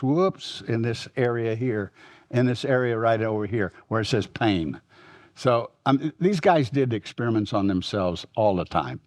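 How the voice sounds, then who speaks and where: An older man with a Texas accent giving a rambling, reminiscing historical lecture at a symposium, often pausing and restarting. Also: medium pitch, a little mellow, no echo. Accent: Texan. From Texas, United States. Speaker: symposium speaker.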